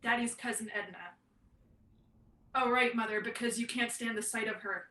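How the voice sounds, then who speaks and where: A woman acting a sarcastic grown daughter in a stage play. Her voice is high and sharp, with a dry, accusing edge, and it echoes around the room. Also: steady tone, normal speed. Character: resentful, sarcastic adult daughter, a weary young mother.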